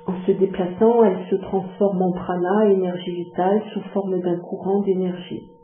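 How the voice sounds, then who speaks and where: A woman leading a guided yoga relaxation, giving calm, measured instructions with long pauses. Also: fairly low pitch. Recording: muffled phone-like sound, echoey, music behind.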